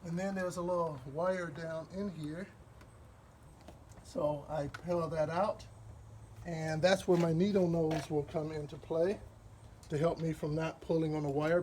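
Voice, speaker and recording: A man from Wisconsin with a Midwestern accent, explaining a car repair step by step in a relaxed, rambling way while he works. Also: a little high, a little hiss or hum. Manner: relaxed, rambling, with fillers and pauses while working. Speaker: DIY car repair host. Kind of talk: hands-on repair walkthrough.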